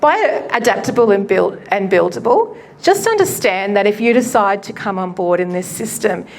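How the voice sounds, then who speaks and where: A woman pastor giving a live presentation to an audience at a launch event, loud and chatty, often laughing and poking fun at herself, her microphone cutting in and out. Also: medium pitch, expressive, normal speed. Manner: loud, chatty and self-mocking; laughs often while talking. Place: event venue.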